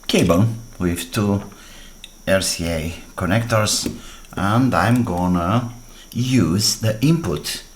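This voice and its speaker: A man with a fairly low voice and talks very slowly through a hands-on electronics repair. He speaks in short, halting phrases, thinking aloud as he works. There is faint noise in the background. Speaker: DIY electronics repair presenter. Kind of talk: hands-on repair demonstration, talking while working.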